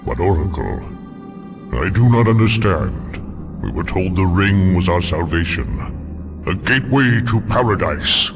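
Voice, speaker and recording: A man's voice: deep voice, expressive, a little slow, music in the background. An actor playing a proud, devout male alien warrior commander in a video game, speaking in formal, solemn tones.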